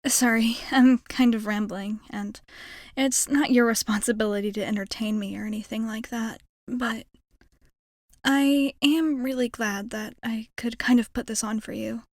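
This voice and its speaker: A woman's voice: fairly high pitch, fairly even intonation, measured pace, dry recording, studio quality. A woman playing a shy, nervously hopeful young alien space traveler in an ASMR roleplay, rambling hesitantly and putting herself down. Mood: shy, wistful. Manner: hesitant, rambling, self-effacing. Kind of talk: ASMR roleplay, intimate character monologue.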